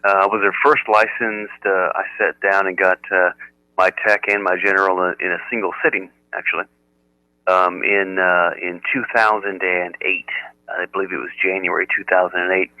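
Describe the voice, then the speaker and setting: An American man chatting casually as an amateur radio operator, with a halting delivery. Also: low voice, flat delivery, pushed and bright, no room sound. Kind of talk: casual ham radio chat. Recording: narrow-band, telephone-like radio audio.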